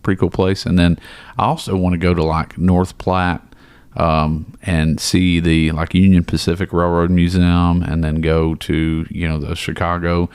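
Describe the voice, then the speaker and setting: A man's voice: deep voice, level tone, moderate pace, a little mellow, clean tone, no room sound. A man co-hosting a casual porch chat, telling rambling stories and laughing often. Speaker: podcast co-host.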